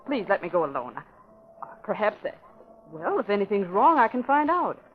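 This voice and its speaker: A woman plays an earnest young reporter of an old radio drama, her pitch rising and falling with lively eagerness. The sound is dull and lo-fi with a faint hiss behind. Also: normal speed, lightly acted. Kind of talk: 1940s radio acting.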